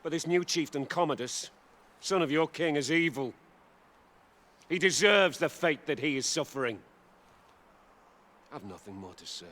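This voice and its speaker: An actor playing a proud, defiant captive chief of ancient Britons in a video game, grave and emphatic. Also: male voice, on the high side, expressive, normal speed, long pauses, a bit bright. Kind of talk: video game cutscene acting.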